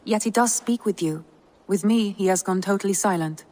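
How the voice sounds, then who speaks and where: An actress playing a composed, shrewd Viking noblewoman in a video game, talking in a measured, formal way. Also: medium pitch, darkish tone, natural voice. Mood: concerned, intimate. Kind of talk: dramatic game cutscene acting.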